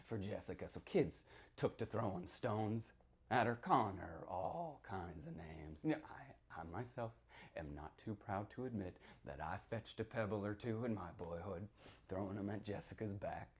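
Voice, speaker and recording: Man acting haunted folksy small-town storyteller, theatrical and halting. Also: fairly low pitch, lively intonation, lightly acted. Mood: brooding, hushed. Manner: folksy, halting, rhetorical asides, swings between hush and outburst. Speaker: author reading from his novel. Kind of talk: theatrical dramatic reading, first-person monologue.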